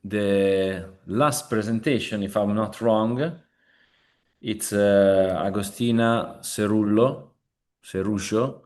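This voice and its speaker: A man's voice: lowish voice, takes it slowly. A man moderating an online class, giving feedback with many fillers and restarts over a video call. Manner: warm-up fillers, rambling.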